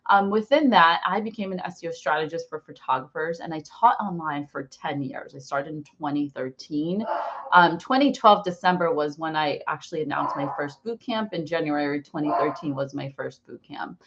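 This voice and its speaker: A muffled, somewhat lo-fi recording of an American woman in her forties. Her voice sits a little low as she teaches an online class. She is talkative and expressive, rambling informally from point to point. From born in Brazil, raised in New Jersey, US. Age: 40s. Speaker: online course instructor.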